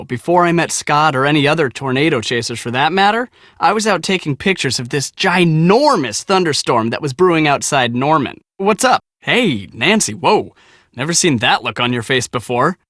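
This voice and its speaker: Cocky young American storm chaser for an adventure game: higher-pitched, very animated, slangy and boastful, dry close sound. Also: male voice, no echo. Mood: dramatic storytelling, then pestering. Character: cocky, excitable young storm-chasing photographer, squeamish about mice. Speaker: video game character, storm team media guy. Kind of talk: animated casual game dialogue.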